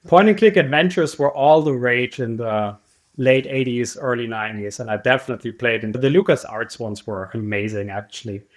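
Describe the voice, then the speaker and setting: A man's voice: medium pitch, moderate pace. A man hosting a podcast, interviewing a guest in a conversational way. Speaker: podcast host.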